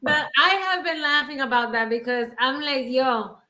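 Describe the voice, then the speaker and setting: Woman co-host, New York and Spanish accent, slangy and quick to laugh, a touch high. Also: bright, forceful tone, reverberant. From New York, the Bronx. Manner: playful, laughs often, slangy. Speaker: podcast co-host. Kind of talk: casual chat.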